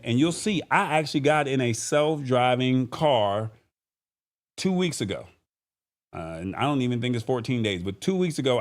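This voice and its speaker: A man with a medium-pitched voice hosts a casual live talk show. He speaks informally and conversationally, addressing the audience directly as he goes. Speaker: show host. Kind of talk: casual live-stream talk show, reading viewer comments.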